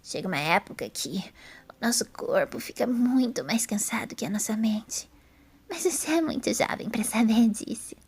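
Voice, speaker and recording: Frail elderly lady, played by a woman narrator: soft, breathy, gentle, drowsy, for audio fiction. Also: fairly high pitch, lively intonation. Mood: apologetic, serene. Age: older. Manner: slow, serene, forgetful. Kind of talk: audio drama character voice.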